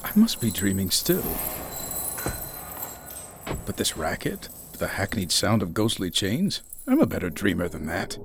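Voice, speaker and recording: Skeptical young writer, animated. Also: male voice, deep voice, measured pace, long pauses, slight background noise, heavily acted. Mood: muttering, dismissive. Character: skeptical, weary, bookish young male ghostwriter. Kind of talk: radio drama acting.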